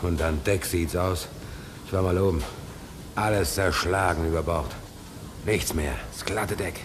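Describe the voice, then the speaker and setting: A man's voice: low-pitched, a little slow. A man acting an anxious, talkative seaman in a German radio play, urgently repeating himself, with dramatic intensity. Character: anxious, talkative seaman called Piep, in storm and shipwreck. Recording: noisy old radio play recording.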